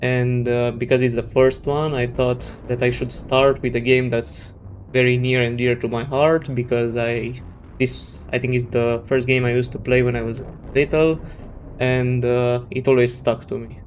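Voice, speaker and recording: A man's voice: medium pitch, little pitch movement, normal speed, slight background noise. A man from Romania with a Romanian accent, a native Romanian speaker doing casual, hesitant let's play commentary. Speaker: gaming channel host.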